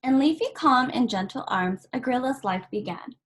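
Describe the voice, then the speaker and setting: A woman with a fairly high voice reads a picture book aloud for children. She works through the story in measured, short phrases, leaving small pauses between them. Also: expressive, a little hiss or hum. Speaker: volunteer story reader.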